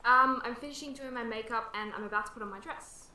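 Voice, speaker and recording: A woman's voice: fairly high pitch, fast talker, pushed and bright, slight background noise. A young woman playing a vain, gossipy eighteen-year-old girl in a naturalistic radio drama, casual and snide. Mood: catty, casual. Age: young adult.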